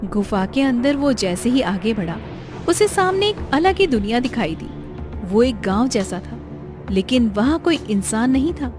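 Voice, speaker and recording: A woman's voice: on the high side, expressive, a little slow. A woman reading scripted narration for a cartoon moral story. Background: background music.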